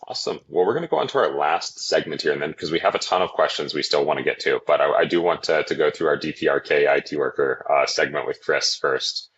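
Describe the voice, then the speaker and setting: Very deep, husky, quick-talking moderator. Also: male voice, fairly bright tone, lo-fi sound, a little hiss or hum. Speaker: panel moderator. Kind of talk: moderating a panel.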